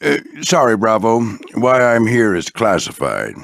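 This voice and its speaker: An older American man voicing a gruff veteran warplane flight instructor in a video game, husky and folksy, coaching firmly but with encouragement. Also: on the low side, huge pitch swings, measured pace, fairly bright tone, no echo, clean studio sound, heavily acted. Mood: gruff approval. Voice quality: gravelly, husky. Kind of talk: scripted video game character lines.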